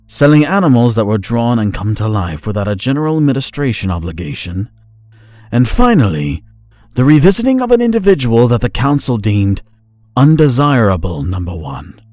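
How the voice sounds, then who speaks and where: A man's voice: medium pitch, darkish tone, no room sound, natural voice. A man playing a haughty, accusing council member in a fantasy audio drama, formal and theatrical like a prosecutor.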